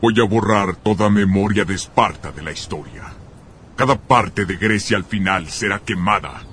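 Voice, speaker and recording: An actor playing an imperious, menacing god-king in a film dub, with a pompous, deliberate, declamatory delivery. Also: deep voice, a bit bright. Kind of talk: dubbed epic film acting. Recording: noisy film soundtrack clip.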